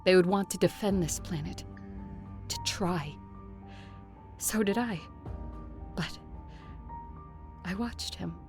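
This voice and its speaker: An actress playing a proud, weary vampire ruler who narrates her story gravely and with measured care in an audio drama. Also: lowish voice. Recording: music under the voice. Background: music.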